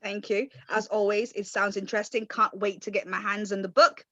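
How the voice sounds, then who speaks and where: A woman's voice: mid-range voice, steady tone, fairly quick, fairly bright tone, no room sound, lo-fi sound. A woman hosting a client interview for a small food company, asking upbeat, leading questions. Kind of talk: friendly scripted interview questions.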